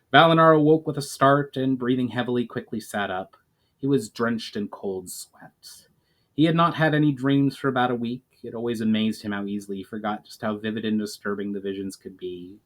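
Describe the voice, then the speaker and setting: A man narrates a fantasy story in a mid-pitched voice. He reads formally and carefully, in the manner of a dramatic amateur audiobook. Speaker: author reading own novel aloud.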